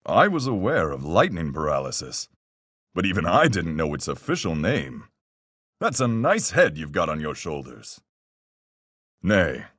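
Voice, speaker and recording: A man voicing a proud, blunt seafaring character in a video game, with gruff, hearty sailor talk. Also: low-pitched, a bit bright, no echo, studio quality, heavily acted. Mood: friendly, amused. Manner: hearty sailor talk with aye, lass, mate. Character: boastful, proud seafaring man, blunt but honest, in murder trial.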